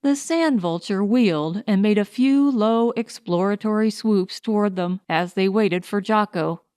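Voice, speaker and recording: A woman's voice: medium pitch, a little slow, darkish tone, no echo, studio quality. A woman reading scripted audiobook narration of a pulp science fiction story.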